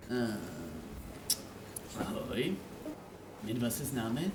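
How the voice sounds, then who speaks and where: A woman's voice: a bass voice, far from the mic, noisy background. A woman voicing a laid-back, polite male restaurant customer in an amateur cartoon fan dub, subdued and casual. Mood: mild, puzzled.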